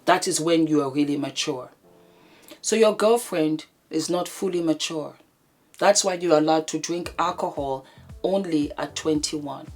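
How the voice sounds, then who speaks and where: Low-voiced woman in her fifties vlogging. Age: 50s. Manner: warm, theatrical greetings with laughs. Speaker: vlogger host. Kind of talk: casual advice chat to camera. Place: home, indoors. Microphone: camera mic.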